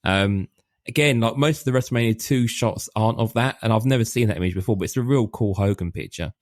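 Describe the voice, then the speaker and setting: A man's voice: lowish voice, fast talker, a little mellow, no room sound, studio quality. A man co-hosting a podcast, talking casually and rambling through a list with lots of ums. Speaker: podcast co-host. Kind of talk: casual chat.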